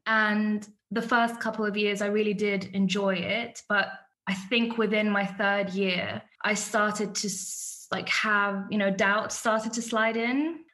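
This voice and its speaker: A woman's voice: medium pitch, fairly even intonation, unhurried, hardly any pauses, pushed and bright. Young woman, podcast guest; candid, chatty storyteller with a mid-range voice. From born in Iraq, raised in London. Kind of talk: casual interview chat.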